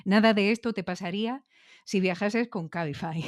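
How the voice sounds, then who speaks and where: A woman's voice: mid-range voice, normal speed, no echo, studio quality. A woman with a Castilian Spanish accent hosting a conversational interview, building long, thoughtful questions and checking in with little tags. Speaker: podcast interviewer.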